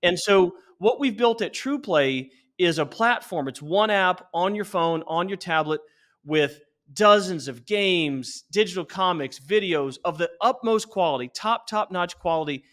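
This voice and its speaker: A man's voice: sits high, expressive, unhurried, fairly bright tone. A middle-aged man answering interview questions as a company founder, conversational, earnest and emphatic.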